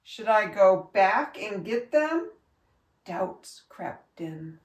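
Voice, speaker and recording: A woman reading a children's storybook aloud like a teacher, with expressive, emphatic and instructive delivery. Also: mid-range voice, very slow. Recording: echoey room, distant from mic.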